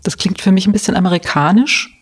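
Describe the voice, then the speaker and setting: A woman's voice: medium pitch, quick pace, husky. A woman hosting a podcast interview, asking casual questions and affirming often with short hums. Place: state representation office, indoors.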